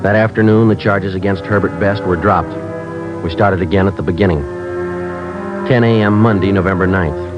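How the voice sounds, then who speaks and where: Man playing terse police sergeant, very low voice, deadpan clipped narration, 1950s radio drama, muffled old recording. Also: natural voice. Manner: deadpan, matter-of-fact, clipped. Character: terse, businesslike male police sergeant narrating his case. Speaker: lead actor and narrator.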